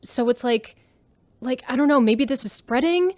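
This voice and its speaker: A woman's voice: high-pitched, lively intonation, moderate pace, darkish tone, no room sound. A woman playing an awkward, shaken young passenger giving a hesitant, rambling, slangy eyewitness account in a radio drama. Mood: anxious. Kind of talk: sci-fi radio drama call-in.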